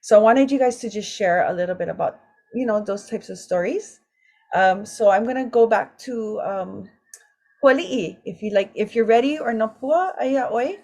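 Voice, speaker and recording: A woman's voice: a little high, moderate pace. A woman hosting a casual roundtable, telling stories emotionally and mixing in Hawaiian words. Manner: warm, emotional storytelling with Hawaiian words mixed in. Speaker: roundtable host and mother. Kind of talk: casual talk story.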